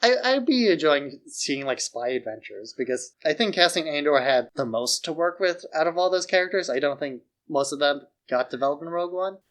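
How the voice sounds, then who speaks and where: A man's voice: fairly high pitch, expressive, brisk, hardly any pauses. A man co-hosting a podcast, giving his opinions on films in loose conversational speech peppered with "like". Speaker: podcast co-host. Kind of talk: casual opinionated film chat.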